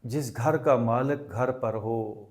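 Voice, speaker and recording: A man leading a guided meditation as a teacher, speaking deliberately and instructively in short phrases with pauses. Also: medium pitch, darkish tone.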